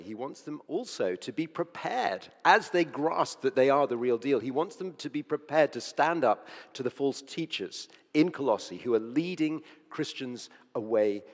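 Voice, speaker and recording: A man preaching with a hoarse, croaky voice. He sounds earnest and conversational, often correcting himself mid-sentence. His voice carries a long echo, as in a church hall. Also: mid-range voice. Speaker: preacher on the church leadership team. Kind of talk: sermon.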